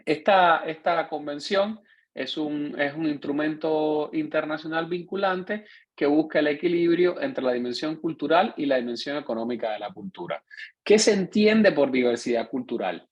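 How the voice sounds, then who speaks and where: Husky Cuban-accented man lecturing. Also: a little high, moderate pace, dull audio. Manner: explanatory, checks in with listeners by tagging questions. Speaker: course instructor. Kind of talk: online lecture.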